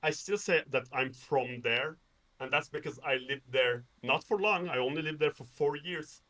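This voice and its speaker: Bright-voiced Norwegian-raised man, distant mic. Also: fairly high pitch, normal speed. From Norway. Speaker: interview guest, game developer. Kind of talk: casual conversation.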